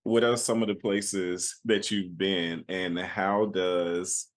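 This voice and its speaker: A man's voice: deep voice, fairly even intonation, slow. A man hosting an interview, affirming the guest with short remarks. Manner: affirming, says "awesome" a lot, chuckles. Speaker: interviewer, host. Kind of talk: conversational interview.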